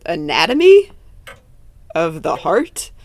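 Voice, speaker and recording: A woman playing a gentle, hesitant, wryly playful friend in a naturalistic fiction podcast. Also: lowish voice, huge pitch swings, slow, no echo, heavily acted. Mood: tentative.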